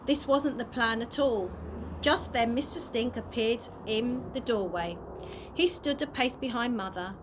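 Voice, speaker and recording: A woman with a fairly high voice reads a children's story aloud. Her storytelling is expressive, and the homemade recording has faint noise. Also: measured pace. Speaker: early years practitioner reading a story. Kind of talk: children's audiobook read aloud. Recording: noisy amateur home recording.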